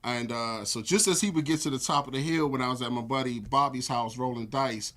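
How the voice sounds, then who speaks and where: An American man in his forties, a church elder, telling relaxed stories with lots of fillers in a casual interview. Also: medium pitch, brisk. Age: 40s. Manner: relaxed storytelling with frequent fillers.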